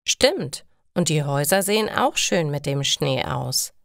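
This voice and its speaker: A woman's voice: sits low, big pitch swings, a little slow, gravelly, no echo, studio quality, exaggerated voice. A woman voicing a cautious, easily scared schoolboy in a storybook reading for language learners. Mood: casual. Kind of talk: acted dialogue in a learner's storybook.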